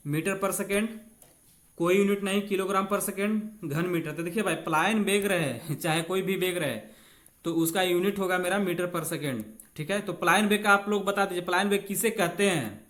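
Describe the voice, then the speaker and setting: A man's voice: high voice, steady tone, normal speed, fairly bright tone. A man teaching exam physics step by step, informally addressing students as friends, repeating key phrases and mixing in English technical terms. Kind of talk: exam-prep lesson, reading questions and explaining them step by step.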